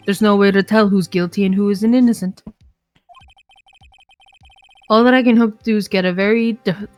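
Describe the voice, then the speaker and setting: A woman with an Icelandic accent reading a cold, arrogant male prosecutor in a lower, lofty tone. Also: lightly acted.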